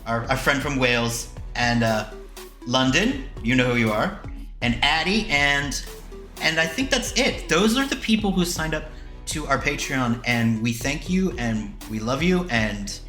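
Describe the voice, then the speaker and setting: A man's voice: medium pitch, lively intonation, measured pace, pushed and bright, roomy sound, faint music behind. A man chatting casually as a podcast host, wry, rambling and playful. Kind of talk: casual chat.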